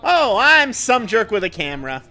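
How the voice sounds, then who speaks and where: A man's voice: sits high, sing-song, normal speed, heavy background noise. A man playing a rambling, self-mocking internet jerk giving a comic monologue in an audio drama.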